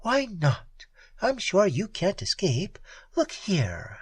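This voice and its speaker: A man reading aloud and voicing a cool, mocking female space pirate queen in a softer, higher voice. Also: huge pitch swings, slow, breathy edge, dry recording, exaggerated voice. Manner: sweet, taunting, softly menacing.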